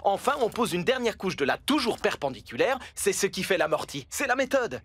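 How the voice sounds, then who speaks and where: A man's voice: high voice, big pitch swings, fairly quick, a bit bright, breathy edge, exaggerated voice. A man playing a friendly, practical builder and team leader in a children's cartoon dub, explaining things in an encouraging way. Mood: instructive.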